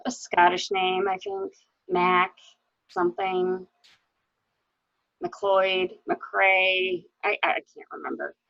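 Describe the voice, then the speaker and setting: A woman's voice: on the low side, little pitch movement, slow pace, creaky, long pauses, fairly bright tone, dry recording, heavily acted. A woman in a dramatic mystery reading, playing an anxious, hesitant widow, on a dull home recording. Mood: uncertain. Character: anxious, hesitant new widow recounting her husband's misdeeds. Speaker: library staff reader.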